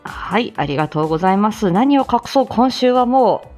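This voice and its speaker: A woman's voice: mid-range voice, animated, moderate pace, darkish tone, soft background music. A woman hosting a casual live audio show, chatting with and greeting listeners and laughing often as she talks. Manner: laughs often while talking.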